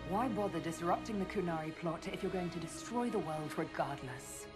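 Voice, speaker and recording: Actress as resolute woman leader over loud music. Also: lowish voice, moderate pace, natural voice. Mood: questioning, grave. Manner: firm, declarative. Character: resolute female military leader, principled and earnest. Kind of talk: dramatic video game dialogue. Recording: loud game score under the voice.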